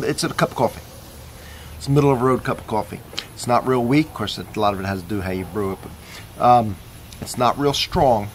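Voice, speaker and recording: A man's voice: mid-range voice, a little hiss or hum. A man casually reviewing coffee in his backyard, talking in an easygoing, rambling way as he thinks aloud. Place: backyard outdoors.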